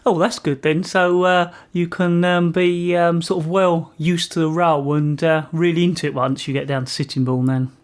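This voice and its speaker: A man hosting an informal community radio interview, asking questions in a hesitant, halting way. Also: a little high, flat delivery, smooth.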